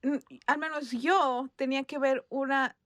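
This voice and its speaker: A woman's voice: high-pitched, fairly even intonation, a little slow, fairly bright tone, dry recording, studio quality. A woman from Mexico co-hosting a casual podcast, laughing often and dropping English words into her Spanish. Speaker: podcast co-host. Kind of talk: casual chat.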